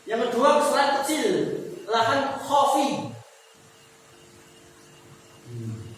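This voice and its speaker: A man's voice: extremely high pitch, huge pitch swings, takes it slowly, slight background noise. A male teacher giving a tajwid lesson in a mosque hall. He explains step by step, repeats key words and asks the class questions. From Indonesia. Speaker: Quran recitation teacher. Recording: roomy echo with long reverb, from far off.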